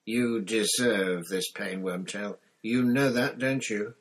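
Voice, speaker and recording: A cold, commanding dark wizard from a fantasy audiobook, acted out by a male reader. He speaks very slowly and deliberately in a low voice, softly mocking and full of menace. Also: breathy edge, heavily acted. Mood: cold, menacing. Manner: soft-spoken, deliberate, menacing.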